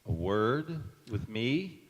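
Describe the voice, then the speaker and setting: A man's voice: mid-range voice, sing-song, drawn-out words, full character voice. A man playing a polite, stern middle-aged father in an old-time radio drama, recorded on stage in an echoey church hall. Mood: courteous, wary.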